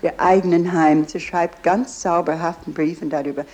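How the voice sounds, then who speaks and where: A woman's voice: fairly low pitch, steady tone, normal speed, darkish tone. A woman giving guided tour commentary, explaining as she goes in slightly unidiomatic phrasing.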